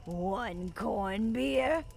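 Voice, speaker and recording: Creaky-voiced, folksy female bartender. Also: medium pitch, drawn-out words, darkish tone, slight background noise, full character voice. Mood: consoling, folksy. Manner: folksy, rustic, motherly. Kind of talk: audio drama acting.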